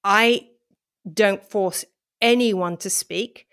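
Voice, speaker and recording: A woman's voice: medium pitch, drawn-out words, a bit bright. A woman lecturer who reads a passage aloud, then answers interview questions in a thoughtful, hesitant way with many fillers and restarts. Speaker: university lecturer, interview guest.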